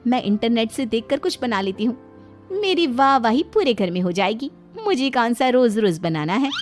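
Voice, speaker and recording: A woman's voice: fairly high pitch, lively intonation, moderate pace, faint music behind, pitched above the performer's own voice, close to an everyday voice. A woman voicing a boastful, easily flustered young city-bred daughter-in-law in a cartoon story, with a showy, whining manner. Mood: boastful, excited. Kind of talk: Hindi cartoon story character acting.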